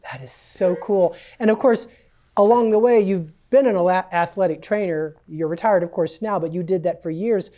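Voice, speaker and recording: A man hosting an interview podcast, enthusiastic and quick with affirmations. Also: high voice, mellow. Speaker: host.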